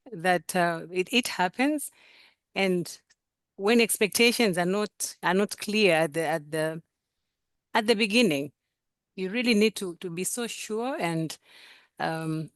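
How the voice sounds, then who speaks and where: Low-voiced woman, halting and earnest. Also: no echo, clean studio sound. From Tanzania. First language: Swahili. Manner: earnest, halting, many repeated words. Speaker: coaching program participant. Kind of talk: sharing experience in a group video call.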